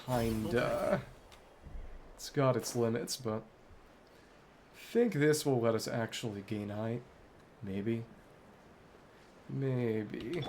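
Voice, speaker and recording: A man giving casual solo commentary as a game streamer, musing aloud in short, halting remarks. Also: medium pitch, darkish tone, slight background noise.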